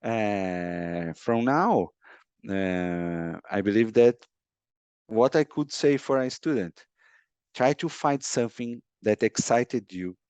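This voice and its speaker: A middle-aged Brazilian man whose first language is Portuguese, speaking English with a Brazilian accent and often pausing to search for words on a video call. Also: fairly low pitch, a little mellow, smooth, no room sound. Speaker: guest, sports lawyer. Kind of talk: podcast interview.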